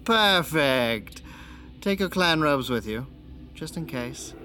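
An actress playing a scheming elder vampire in charge, imperious and coaxing, with theatrical, drawn-out emphasis in an audio drama. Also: female voice, low-pitched, expressive, pushed and bright, soft background music, full character voice. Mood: mock-offended, breezy. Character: scheming elder vampire superior, grandly coaxing, falsely casual.

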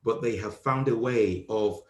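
A man hosting a podcast as a grandiose comedic president, speaking in a put-on British accent with a formal, self-important deadpan manner. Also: lowish voice, unhurried. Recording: roomy echo.

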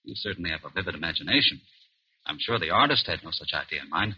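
Condescending male doctor, low raspy voice, swooping intonation, persuasive, old radio thriller, muffled sound. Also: animated, quick pace, bright, forceful tone, heavily acted. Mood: soothing, insistent. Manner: patronizing, persuasive. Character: smooth-talking, insistent male family doctor. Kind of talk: old-time radio suspense acting.

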